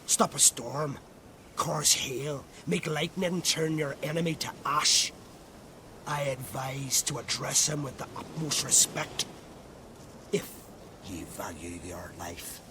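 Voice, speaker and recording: An actor playing a crotchety, haughty old druid in a video game, with an imperious, condescending manner and whispery phrase endings. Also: male voice, medium pitch, slow pace, noisy background. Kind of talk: scripted video game acting.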